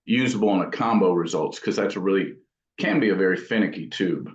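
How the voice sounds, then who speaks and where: A man's voice: sits low, brisk. A man being interviewed as an amp builder, talking casually in thoughtful, rambling explanations. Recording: dull, echoey room sound.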